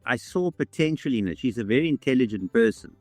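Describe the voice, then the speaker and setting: A man, telling his life story in a measured way with small pauses. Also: medium pitch, lively intonation, no room sound, soft background music. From South Africa. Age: 60s. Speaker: interviewee. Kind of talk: reflective storytelling.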